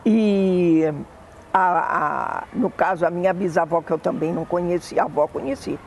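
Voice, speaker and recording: An older woman with a Brazilian Portuguese accent, fondly recalling family memories in an oral history interview, often pausing to correct herself. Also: on the low side, darkish tone, slight background noise. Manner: fond, meandering reminiscing with self-corrections.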